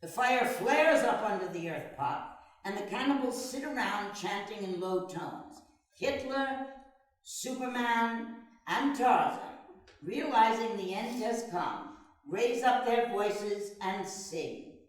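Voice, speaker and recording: A woman's voice: a little high, expressive, slow. A woman reading stage directions as narrator in a dramatic live play reading. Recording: echoey hall, far from mic.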